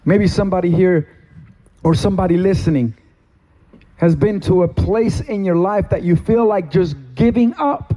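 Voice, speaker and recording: A middle-aged man from Cuba, a pastor giving a sermon testimony from a stage, with slow, deliberate, emphatic phrasing. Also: high-pitched, warm tone. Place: stage in a meeting hall.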